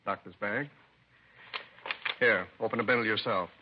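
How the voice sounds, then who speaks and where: A man's voice: fairly low pitch, normal speed, close to an everyday voice. A man acting as a polite, businesslike drug boss in a 1950s radio crime drama, speaking tersely. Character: courteous, businesslike male drug boss, quietly in charge. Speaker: supporting actor. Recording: muffled vintage radio, faint noise.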